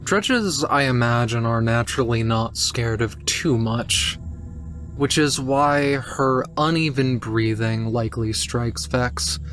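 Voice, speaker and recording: A man's voice: medium pitch, takes it slowly, a bit bright, soft background music. A man playing a tabletop roleplaying game, narrating his character's actions and thoughts.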